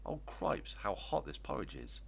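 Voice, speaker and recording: A man's voice: deep voice, moderate pace, creaky, slightly rough, full character voice. A man reading a storybook voices a hearty, easily exasperated father with blustering exclamations. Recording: steady background noise with hum.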